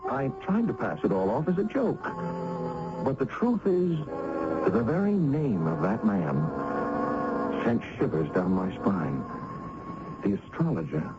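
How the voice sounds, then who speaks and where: A man's voice: mid-range voice, very animated, unhurried, long pauses, over loud music. An actor playing an earnest, reassuring village youth who tells his story in a radio drama, with emphatic delivery. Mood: narrating. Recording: muffled, hissy old radio recording.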